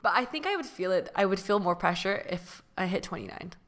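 Late-20s woman, fast and bright, candid chat. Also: mid-range voice, lively intonation. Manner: candid, quick, trails off softly. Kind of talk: casual chat.

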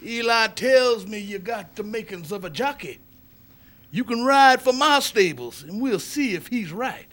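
High-pitched, blustering racehorse owner. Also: male voice, lively intonation, a little slow, a bit bright. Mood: skeptical, bossy. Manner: bossy, barking, emphatic. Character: blustering, hot-tempered, domineering male racehorse owner. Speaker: actor. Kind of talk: old-time radio drama acting.

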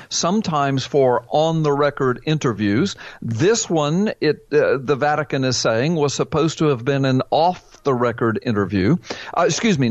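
A man's voice: mid-range voice, dry recording, highs cut off. A man guest on a radio interview, talking earnestly and animatedly with folksy asides and many repeated words. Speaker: pastor and author guest.

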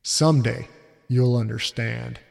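A man voicing a harsh, abusive father who lectures in a gruff, contemptuous way. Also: mid-range voice, steady tone, a little slow, a little mellow, long reverb tail.

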